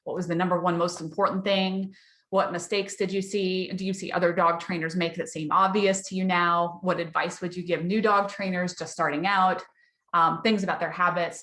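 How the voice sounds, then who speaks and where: A woman's voice: fairly low pitch, steady tone, normal speed, a bit bright. Woman coach losing her voice, echoey room. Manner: chatty, upbeat, full of client success stories. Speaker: dog trainer business coach, webinar host. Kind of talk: casual webinar talk.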